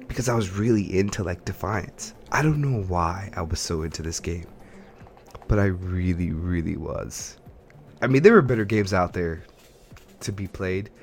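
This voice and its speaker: A man's voice: low voice, moderate pace, a little hiss or hum. A man co-hosting a podcast, chatting casually about games and films in a rambling, think-aloud way. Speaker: podcast co-host.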